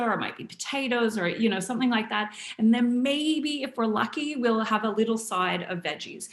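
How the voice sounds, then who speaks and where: A woman in her thirties, from Canada, talks through a nutrition topic over a video call in a chatty, filler-heavy way, holding her voice down because of the late hour. Her voice has a middle pitch for a woman. The sound is roomy and echoey. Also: a bit bright. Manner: chatty, frequent fillers, keeping voice down. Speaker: nutrition presenter. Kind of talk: casual online presentation. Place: home.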